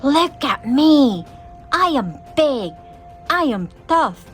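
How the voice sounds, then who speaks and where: A woman voicing a small, proud, stubborn boy wolf cub in a storybook, boastful, loud and eager. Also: sits high, sing-song, slow pace, faint music behind, pitched above the performer's own voice, full character voice. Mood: bold, boastful.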